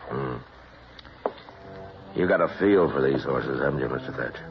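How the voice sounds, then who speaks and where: An actor playing a calm, weary frontier marshal with an American accent, speaking in a measured, laconic way in a western radio drama. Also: male voice, over music. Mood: concerned. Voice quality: deep, gravelly. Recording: noisy, dull old-time radio recording.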